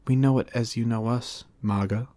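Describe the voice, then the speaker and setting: A man voicing a serene, gentle female lamb spirit from a storybook, speaking formally and with calm reassurance. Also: medium pitch, normal speed, dry recording, natural voice. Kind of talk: storybook character reading.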